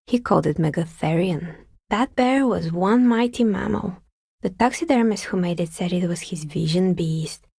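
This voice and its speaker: Husky, dry-witted craftswoman. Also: fairly low pitch, mellow, no room sound. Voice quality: husky, breathy with some creak. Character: wry, pragmatic woman craftsperson, a novelty dicemaker. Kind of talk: video game character dialogue.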